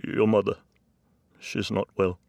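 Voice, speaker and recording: A man voicing a distraught older father in an audiobook, breaking grave family news in an upset, emphatic, halting way. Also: fairly low pitch, level tone, creaky, a little mellow, dry recording, pitched above the performer's own voice. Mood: tearful, grave. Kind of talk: audiobook character dialogue.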